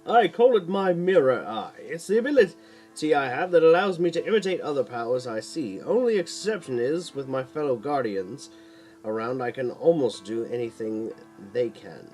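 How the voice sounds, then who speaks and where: Man playing a guardian hero, earnest, knowing, urgent, wide pitch swings, fantasy audiobook, music behind. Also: lively intonation, normal speed, a little mellow, close to an everyday voice, rough recording. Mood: informing. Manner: explanatory, urgent. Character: earnest knowledgeable male guardian hero in silver armor.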